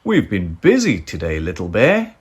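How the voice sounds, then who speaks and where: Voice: sits low, huge pitch swings, normal speed, husky, voice pushed down, heavily acted. A storybook reader voicing a big, kindly grown-up male bear in a patient, reassuring manner. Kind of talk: read-aloud storybook character voice.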